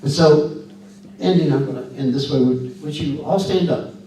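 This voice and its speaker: A man with a scratchy voice giving a folksy, earnest talk at a church and answering questions, halting often with fillers and restarts. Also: on the high side, dark tone. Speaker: guest speaker. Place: church sanctuary. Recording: echoey hall from far off.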